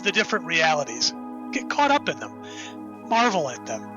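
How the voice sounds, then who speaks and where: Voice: mid-range voice, big pitch swings, moderate pace, muffled recording, over music, close to an everyday voice. An actor playing an enthusiastic, rambling writer who created the hero, in an audio drama. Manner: enthusiastic, rambling, self-interrupting.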